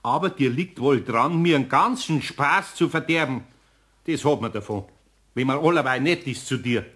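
A man playing an exasperated older master craftsman with a husky voice and a Bavarian accent in a radio play. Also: medium pitch, lively intonation, moderate pace, a bit bright, lightly acted. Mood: accusing. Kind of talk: German radio play acting.

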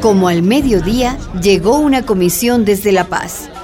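Woman narrating over soft music. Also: medium pitch, expressive, normal speed. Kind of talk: dramatic scripted narration.

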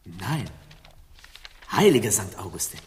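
An actor playing a timid, easily shocked professor who toadies obsequiously in a satirical radio play. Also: male voice, fairly low pitch, sing-song, unhurried. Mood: flattering, soothing. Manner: obsequious, theatrical. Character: fawning male professor, timid, easily shocked, toadying to his superior. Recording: reverberant hall, music behind.

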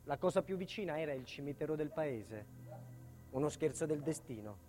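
A man's voice: fairly high pitch, animated, mellow, soft background music, natural voice. A male actor playing an embittered protected witness recounting a life in hiding, in a solemn, intense theatre monologue. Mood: somber, haunted. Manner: solemn, intense, deliberate phrasing. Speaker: stage actor and narrator. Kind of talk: dramatic first-person theatre monologue.

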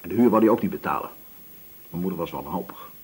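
A man's voice: sits low, fast talker, slightly rough, rough recording. An actor playing a desperate, hot-tempered young male murder suspect in a radio drama, emotional and pleading. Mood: downcast, confessing. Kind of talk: Dutch radio drama acting.

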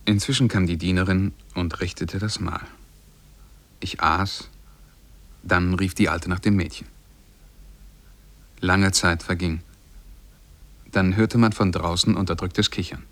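An actor playing a lovestruck, shy young man who tells his tale in measured, poetic German radio drama style. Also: low-pitched, fairly even intonation, fairly bright tone. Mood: calm recounting. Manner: measured, poetic, careful diction.

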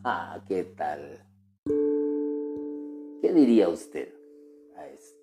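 Slow-spoken man teaching over guitar music. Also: deep voice, animated. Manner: slow, deliberate, speaks directly to the listener. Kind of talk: religious teaching talk. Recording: guitar music playing behind the voice. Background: plucked guitar music.

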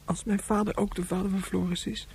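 A woman's voice: medium pitch, little pitch movement, a little fast, a little mellow, slight background noise, lightly acted. A woman playing an earnest, hesitant, vulnerable young boy in a science fiction radio drama. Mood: timid, pleading.

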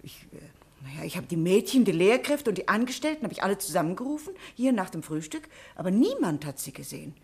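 An actress playing a worried middle-aged boarding school headmistress in a 1950s radio drama, who talks in a hesitant, apologetic way. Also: female voice, mid-range voice, big pitch swings, quick pace, a little mellow, breathy edge.

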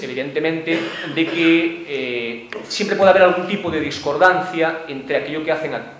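A man giving a prepared talk on a panel, measured and argumentative, sometimes stumbling over repeated words. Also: mid-range voice, a little hiss or hum. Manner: measured and argumentative, with stammered repetitions. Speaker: panel speaker, activist. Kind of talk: prepared talk at a round table. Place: cultural centre lecture room. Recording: echoey room with faint ambience.

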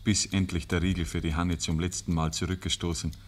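Solemn old-time radio storyteller, deep voice, measured literary delivery. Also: male voice, level tone. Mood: grave. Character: grave, ironic male storyteller. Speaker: narrator. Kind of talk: scripted narration, 1950s radio drama.

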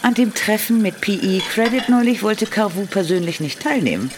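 An actress playing a discreet secretary confiding insider information in a conversational radio drama scene. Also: female voice, medium pitch, lively intonation, moderate pace, natural voice. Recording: clattering dishes, noisy room. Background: clinking dishes.

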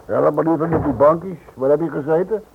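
A man's voice: on the high side, fairly quick, gravelly. A man playing a grumbling criminal accomplice in a radio drama. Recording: tinny, noisy old radio recording.

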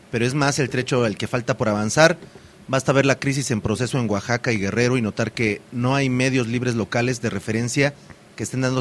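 Brief: male radio host, mid-pitched, halting, filler-heavy delivery, faint background hiss. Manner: hesitant, many fillers and restarts. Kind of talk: community radio talk.

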